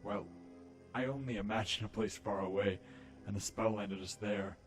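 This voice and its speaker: A man portrays a dutiful military pony in a cartoon drama. His fairly low voice is stern and formal, with music faintly underneath. He speaks firmly, like a loyal officer. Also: steady tone, moderate pace, lightly acted. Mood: explaining. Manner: firm, formal, deferential to royalty. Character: stern, dutiful male pony general serving a queen. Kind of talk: animated fan audio drama acting.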